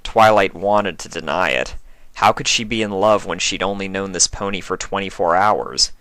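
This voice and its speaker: A man's voice: deep voice, normal speed, sharp tone, no echo. A man reading scripted fan fiction as a narrator.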